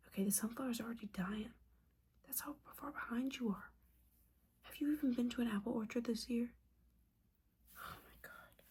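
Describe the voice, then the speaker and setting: A woman playing a disappointed, judgmental but caring witch mentor in a whispered, softly spoken ASMR roleplay, scolding with sassy, casual asides. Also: medium pitch, slightly rough. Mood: disappointed, nagging.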